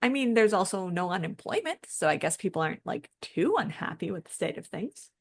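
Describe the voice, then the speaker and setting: A woman's voice: fairly low pitch, sing-song, brisk, creaky, slightly rough. A woman co-hosting a podcast, explaining and discussing things in an informal, conversational way. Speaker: podcast co-host.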